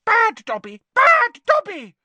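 Eager, squeaky little male house-elf. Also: sing-song, normal speed, a bit bright. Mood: frantic. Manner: servile, third-person broken grammar.

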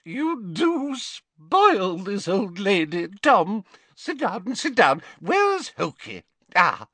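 A man voicing a fussy, vain old rich woman who talks in a shrill, simpering and imperious way. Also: wildly swooping pitch, extremely slow, sharp tone, dry recording, heavily acted.

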